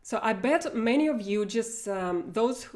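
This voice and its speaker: Slow-talking Russian-accented woman teacher. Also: on the high side, expressive, darkish tone. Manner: friendly, chatty, laughs often, many fillers. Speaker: language teacher. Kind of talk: live online lesson. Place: home room with bookshelves. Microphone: wired earphone mic.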